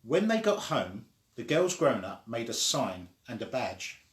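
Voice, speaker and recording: Slow-reading male storyteller in an echoey room. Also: mid-range voice, sing-song. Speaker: storyteller reading a children's book. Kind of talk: slow read-aloud storytelling.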